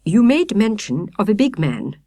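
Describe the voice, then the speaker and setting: A woman's voice: medium pitch, big pitch swings, normal speed, dark tone, no echo, studio quality, voice pushed up. A woman voicing a courteous, grave male professor in a children's storybook, speaking formally and politely. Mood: inquiring.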